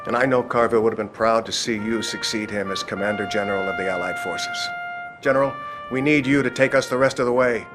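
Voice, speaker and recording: A man's voice: on the low side, fast, close to an everyday voice. An actor playing a resolute, patriotic American statesman, solemn and stately, in a dramatic game cutscene. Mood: solemn resolve. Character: resolute, patriotic American head of state addressing his general. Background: loud music.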